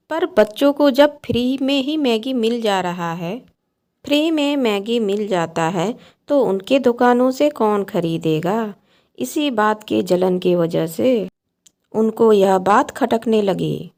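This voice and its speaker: A woman narrating a scripted cartoon bedtime story as the storyteller. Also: medium pitch.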